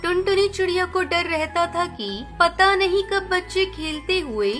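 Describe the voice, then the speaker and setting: A woman's voice: very high voice, measured pace, a bit bright. A woman reading scripted narration of a children's story as its storyteller. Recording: clear music bed under narration. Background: music.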